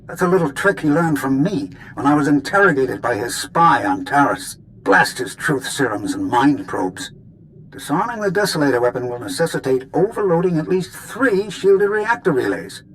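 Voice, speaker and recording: An actor playing a clever, grumbling scientist in a video game, wordy and precise. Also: male voice, on the high side, sing-song, normal speed, a bit bright, slight background noise, poor audio. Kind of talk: scripted video game acting.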